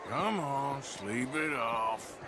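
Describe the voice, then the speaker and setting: An actor playing a rough, plain-spoken outlaw at a party in a video game cutscene, informal and blunt. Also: male voice, mid-range voice, animated, very slow. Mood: firm. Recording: loud outdoor party noise behind.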